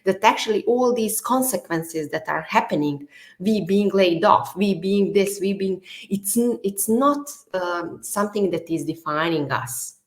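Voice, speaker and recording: A woman's voice: medium pitch, a little slow, gravelly. Bosnian-accented female podcast host, mid-range voice, non-native, filler-heavy chat. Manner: leans on "like" and "you know" fillers. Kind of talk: conversational interview.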